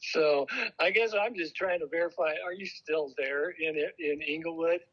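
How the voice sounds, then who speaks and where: A man's voice: fairly high pitch, fairly bright tone. Hesitant American man on a muffled call. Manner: hesitant, halting. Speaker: congregation elder on a phone call. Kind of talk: casual, polite phone conversation. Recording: muffled recorded phone call.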